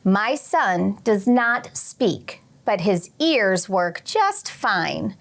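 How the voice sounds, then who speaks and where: Female teacher, very unhurried delivery, mid-range pitch, expressive children's read-aloud addressed straight to young listeners. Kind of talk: children's picture book read-aloud with short teaching asides.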